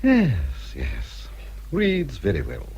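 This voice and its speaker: An actor playing a vain, snobbish middle-aged English baronet in a radio drama, pompous and fussy. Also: male voice, medium pitch, wildly swooping pitch, slow pace, a little mellow, a little hiss or hum. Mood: self-satisfied.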